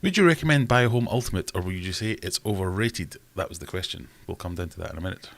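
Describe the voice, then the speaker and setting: Deep-voiced man, chatty livestream host. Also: expressive, fast talker, vocal fry. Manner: rambling, self-deprecating, chatty. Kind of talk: casual live chat reading comments. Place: home.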